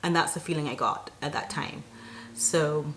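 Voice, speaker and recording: A woman from Barbados telling a personal story to camera as a vlogger, in an animated, chatty and emphatic way. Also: low voice, normal speed, heavy background noise.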